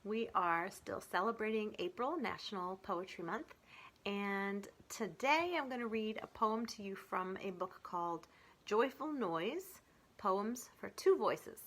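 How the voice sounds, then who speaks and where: A middle-aged woman presenting as a children's librarian and reading poetry aloud with clear, deliberate enunciation. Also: mid-range voice, lively intonation, a bit bright.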